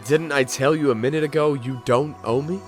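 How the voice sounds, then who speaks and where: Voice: mid-range voice, a little fast, faint music behind, close to an everyday voice. An actor playing a bewildered, polite amnesiac spirit with dry wit in a video game fan dub, hesitant and given to exaggerated surprise. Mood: earnest. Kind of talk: dramatic fan dub with inner monologue.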